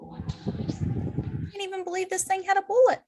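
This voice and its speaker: A woman's voice: very high voice, fairly even intonation, fairly quick, darkish tone. A woman playing a flighty, chatty, unhinged young clown villainess in an amateur table read. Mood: offhand.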